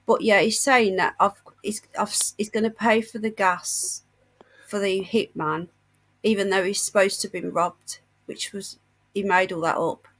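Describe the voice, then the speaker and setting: A woman with a mid-pitched voice hosts a casual livestream. She talks as if passing on outrageous gossip, with indignation running through it. She is unwell with a sore chest as she talks. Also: fairly even intonation, moderate pace, fairly bright tone, low-quality recording. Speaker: livestream host.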